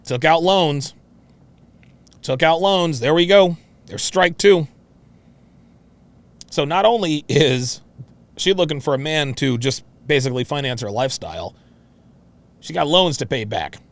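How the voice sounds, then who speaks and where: A man's voice: fairly high pitch, expressive, unhurried, pauses often. A man hosting a reaction show, commenting on a clip in a brash, mocking, profane and repetitive manner.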